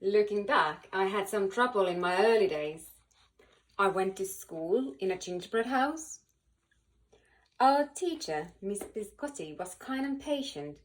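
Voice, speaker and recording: A woman reading a children's picture book aloud in an animated, expressive way, with dramatic pauses and emphasis. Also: medium pitch, poor audio. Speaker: volunteer children's book reader.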